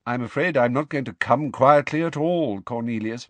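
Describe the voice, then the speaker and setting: A man voicing an old headmaster wizard from a storybook, calm, pleasant and politely wry. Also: mid-range voice, normal speed, dry recording, voice pushed up, close to an everyday voice. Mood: wry, defiant. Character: old male headmaster wizard, serene, courteous, quietly amused.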